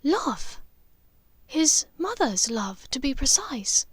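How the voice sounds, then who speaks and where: A refined middle-aged witch, acted by a woman narrator, speaks in a raised, high register. She is measured and precise yet emotional, as in a storybook, on a very dry recording. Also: sing-song, no room sound, heavily acted. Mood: solemn, hushed. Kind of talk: audiobook character dialogue.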